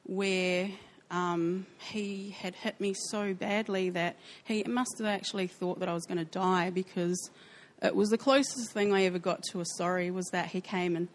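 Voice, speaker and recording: A woman with a New Zealand accent sharing her life story in a church interview, speaking softly and hesitantly with frequent pauses. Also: lowish voice, steady tone. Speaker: church member sharing testimony. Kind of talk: personal testimony interview.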